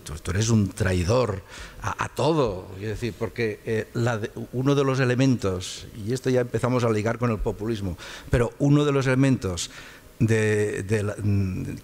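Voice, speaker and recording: A man's voice: fairly low pitch, a little mellow. An older man from Catalonia giving a conversational public lecture as a guest speaker, often repeating words as he searches for his phrasing. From Catalonia, Spain.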